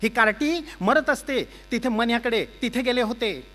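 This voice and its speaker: A man doing a shrill nagging wife. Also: animated, moderate pace, a bit bright. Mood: scolding. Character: shrill scolding wife, stout and sour-faced.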